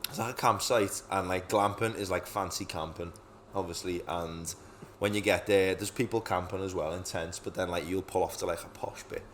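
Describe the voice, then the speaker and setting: A man's voice: low-pitched, fairly even intonation, normal speed, a little hiss or hum. A young man co-hosting a podcast, chatting casually and telling stories with lots of swearing and laughter. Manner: sweary, storytelling, laughs often. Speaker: podcast co-host. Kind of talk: casual chat.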